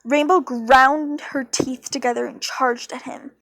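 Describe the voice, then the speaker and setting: A woman's voice: sits high, steady tone, a little slow, fairly bright tone, no echo. A woman reading a story aloud in an amateur way, breaking off for blunt, informal asides. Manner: informal, blunt, sweary commentary. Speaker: fan fiction reader.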